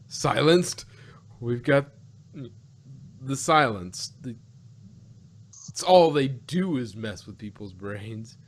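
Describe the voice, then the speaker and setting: Guy co-hosting a casual review podcast, normal pitch, kinda rambles and repeats words, stop and start. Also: lively intonation, slow pace, pauses often. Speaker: podcast co-host reviewing audio dramas.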